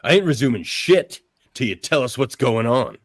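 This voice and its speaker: A man playing a gruff, blunt, defiant tough-guy hero in a radio drama. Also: medium pitch, very animated, moderate pace, no room sound, clean studio sound. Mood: irritated.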